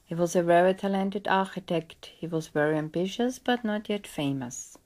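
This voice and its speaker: A woman reading a scripted legend as a storyteller, in a measured, dramatic storybook style. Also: on the low side, darkish tone.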